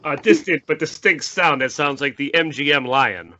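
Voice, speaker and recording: A man's voice: a little high, moderate pace, bright, forceful tone, muffled recording. A man reading stage directions as narrator in a scripted podcast table read.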